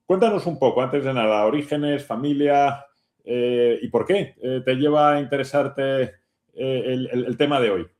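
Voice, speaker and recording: A male radio host talks in a mid-pitched voice with a noticeable room echo. He interviews conversationally, pausing often and checking in with short tag questions. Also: darkish tone. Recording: roomy, echoing sound.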